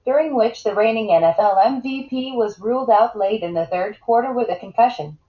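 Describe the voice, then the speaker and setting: A woman's voice: on the high side, a little fast. Echoey synthetic woman's reading voice. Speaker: text-to-speech reader. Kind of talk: flat synthetic read-aloud. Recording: dull, echoey computer speaker playback.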